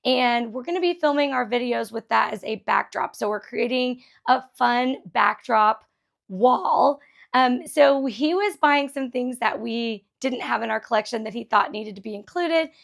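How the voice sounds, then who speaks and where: A woman's voice: on the high side, measured pace, creaky. A middle-aged woman hosting a thrift haul vlog in a chatty, enthusiastic way, her voice a little scratchy after a concert. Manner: chatty and enthusiastic, laughs often. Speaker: vlog host. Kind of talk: casual thrift haul chat.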